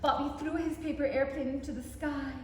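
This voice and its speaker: High-pitched woman reading a picture book. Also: brisk. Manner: animated, asks listeners questions. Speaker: storyteller for young children. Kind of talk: picture book read-aloud. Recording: echoey reverberant room, faint background noise.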